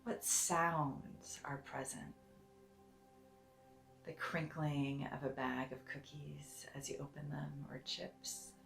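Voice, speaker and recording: A woman leading a guided meditation in a soft, soothing, deliberate way, sometimes breathy, with long pauses. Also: sits low, little pitch movement, roomy sound. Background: soft music.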